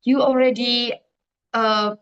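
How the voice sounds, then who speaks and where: Young indonesian woman running a zoom orientation, kinda high voice, lots of uh and restarts. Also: steady tone, slow pace, a bit bright, clear, resonant tone, dull audio. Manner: hesitant, many fillers and restarts. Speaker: online orientation host. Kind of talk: presenting on a video call. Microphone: video call.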